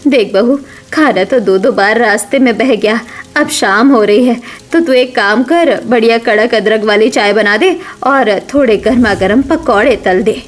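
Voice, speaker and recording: A slightly high-voiced older mother-in-law from a family cartoon, acted by a woman. She is motherly and earnest, worrying over her household but determined in what she says. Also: a little slow. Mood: concerned, determined. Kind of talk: Hindi animated family story acting.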